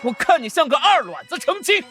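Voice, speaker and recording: A man's voice: extremely high pitch, sing-song, fairly quick, loud music behind the voice. An actor playing a bold young male cultivator in an audio drama, commanding, taunting and defiant. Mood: mocking, confident.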